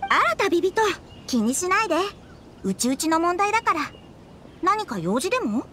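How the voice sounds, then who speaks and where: An actress playing a brisk, sociable female editor with casual, feminine phrasing in a Japanese game cutscene. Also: extremely high pitch, sing-song, bright, forceful tone, a little hiss or hum, full character voice. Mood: friendly, evasive.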